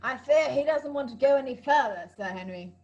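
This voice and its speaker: Earnest sidekick acted by a woman, high-pitched, very animated, naive, excitable, comic radio play. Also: a little fast, highs cut off. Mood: nervous.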